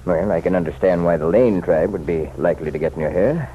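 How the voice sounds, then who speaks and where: A man's voice: low-pitched, quick pace, close to an everyday voice. An actor in an old-time radio drama playing an insistent, folksy townsman who offers pointed, chummy advice. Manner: folksy, chummy, leaning and persuasive. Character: insistent, insinuating townsman, nosy self-appointed helper to the sheriff. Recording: muffled, noisy vintage radio recording.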